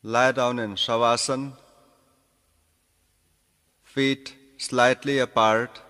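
A man giving guided meditation instructions as a yoga nidra teacher, speaking deliberately in short phrases with pauses. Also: mid-range voice, flat delivery, pushed and bright. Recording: long reverberant hall echo.

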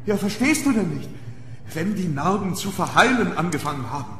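A man playing an eager, commanding archaeologist in a horror radio play, dramatic and excitable. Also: high voice, brisk. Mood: alarmed, urgent. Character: eager, commanding male archaeologist exploring a tomb. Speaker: audio drama actor. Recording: roomy, long echo, background noise.

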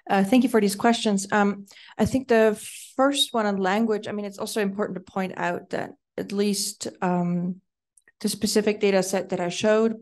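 Woman panelist, gravelly fry, searching and hesitant delivery. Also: medium pitch. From Switzerland. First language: Swiss German. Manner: thoughtful, many ums and restarts. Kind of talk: panel Q&A answer. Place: lecture room.

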